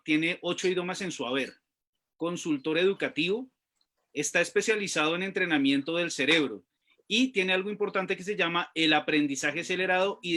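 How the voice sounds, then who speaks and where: A man co-hosting a radio magazine, speaking in a formal, deliberate way. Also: high-pitched, bright, forceful tone. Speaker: radio co-host.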